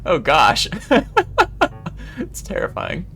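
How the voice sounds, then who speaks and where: A gaming video host with a mid-pitched voice talks casually through a playthrough. The delivery is expressive, full of exclamations and reactions. Game music plays clearly behind the voice. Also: takes it slowly. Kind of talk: casual playthrough commentary, reading game text aloud. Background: game background music.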